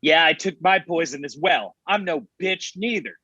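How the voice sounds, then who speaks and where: A man acting as a grumpy, lovelorn young man in a comedy table read, confessing earnestly and haltingly. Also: on the high side, expressive, pushed and bright, slightly rough, no room sound, dull audio, heavily acted. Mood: hurt.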